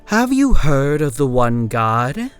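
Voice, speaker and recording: A man voicing a zealous, bright-eyed woman evangelist in a horror audio drama, insistent and preachy. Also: medium pitch, sing-song, takes it slowly, clear, resonant tone, soft background music, pitched above the performer's own voice. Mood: fervent.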